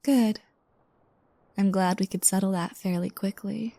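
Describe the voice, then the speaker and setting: Woman acting a drowsy, creaky-voiced teasing girlfriend. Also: mid-range voice, steady tone, no room sound. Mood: mock bossy, teasing. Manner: soft, breathy asides, teasing, unhurried. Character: teasing, playful new girlfriend waking up drowsy. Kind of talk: intimate girlfriend roleplay audio.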